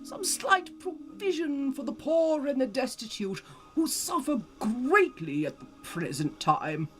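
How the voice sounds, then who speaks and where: A woman voicing a polite, earnest gentleman in a storybook reading, formal and courteous in delivery. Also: medium pitch, pitched above the performer's own voice. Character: polite, earnest charity gentleman seeking donations.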